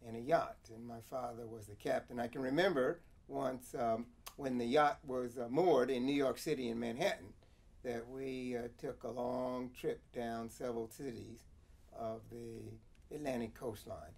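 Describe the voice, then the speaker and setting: An American man in his seventies recounting personal history in an interview, with deliberate, measured and formal phrasing. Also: mid-range voice, vocal fry, a little mellow, breathy edge. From Connecticut, USA. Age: 70s. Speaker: interviewee, former attorney.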